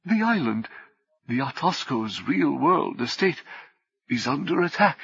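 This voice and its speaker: A man voicing a spectral figure who labors to sound calm under urgent pressure, soft and husky. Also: on the high side, a little slow, highs cut off, voice pushed up, exaggerated voice. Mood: strained calm.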